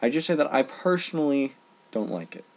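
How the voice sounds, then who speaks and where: A young man giving an earnest, exasperated, unscripted rant, speaking emphatically with halting pauses in a husky, gravelly voice. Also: mid-range voice, sing-song. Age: teens to young adult. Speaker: online ranter.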